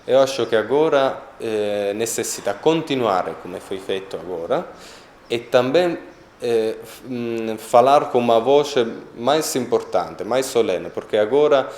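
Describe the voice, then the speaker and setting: A man with an Italian accent, speaking a second language in a documentary interview, pausing often to find his words. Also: mid-range voice, lively intonation, darkish tone, roomy sound, a little hiss or hum. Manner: halting, searching for words, many pauses. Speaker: interviewee on a sainthood cause.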